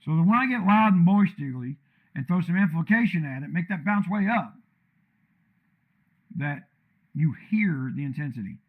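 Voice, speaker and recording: Blunt man with a deep commanding voice. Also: lively intonation, moderate pace, long pauses, narrow, tinny audio. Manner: blunt, loud, rambling. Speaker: streamer tuning his microphone. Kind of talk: casual live-stream rant. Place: untreated room with echo and a computer fan.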